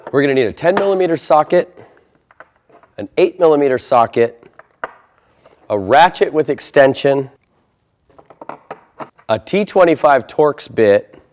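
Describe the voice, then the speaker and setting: A man's voice: medium pitch, darkish tone. An American man presenting a step-by-step installation tutorial in an instructional style.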